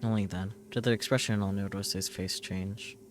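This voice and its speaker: Man, low pitch, visual novel narration, deliberate storytelling, streamer, faint noise. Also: steady tone. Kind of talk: reading a visual novel aloud.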